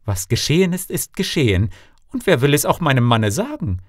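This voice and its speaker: A man voicing a headstrong young princess in a fairy-tale audiobook, with expressive, theatrical delivery. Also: mid-range voice, fairly quick, dry recording, clean studio sound, pitched above the performer's own voice. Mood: sly, defiant.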